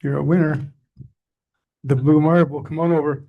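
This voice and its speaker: An older man from Canada gives a relaxed, joking talk to a society meeting, wandering off into asides. His voice is scratchy and gravelly, with a husky edge. He stays fairly even in pitch and sounds a little higher than most men. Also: moderate pace, highs cut off. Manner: chatty, jokey and digressive. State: scratchy from allergies. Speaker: guest speaker.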